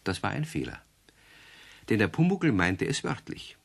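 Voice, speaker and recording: A man narrating a children's radio play in a calm storytelling cadence. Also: lowish voice, normal speed, gravelly.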